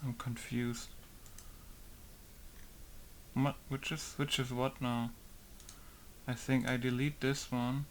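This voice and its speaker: A man's voice: medium pitch, flat delivery, takes it slowly, long pauses, a little hiss or hum. Man muttering while modding a game. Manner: thinking aloud, repeating test words. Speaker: game modder. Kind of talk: casual commentary while working.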